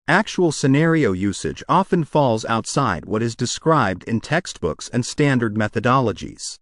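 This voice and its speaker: Measured male reader with lively intonation. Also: mid-range voice, dry recording, studio quality. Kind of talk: scripted read-aloud of academic practice texts.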